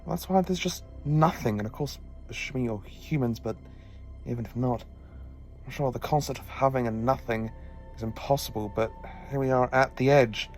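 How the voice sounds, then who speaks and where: A man playing an eccentric, inquisitive and excitable time-traveling alien scientist in a sci-fi audio drama. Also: medium pitch, normal speed, faint music behind, lightly acted. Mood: uneasy wonder.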